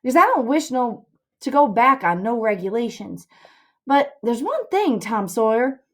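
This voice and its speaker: A woman's voice: on the high side, sing-song, moderate pace, voice pushed up. A woman voicing a practical, plain-spoken boy sidekick in a storybook reading, questioning in rustic dialect. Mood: practical, objecting.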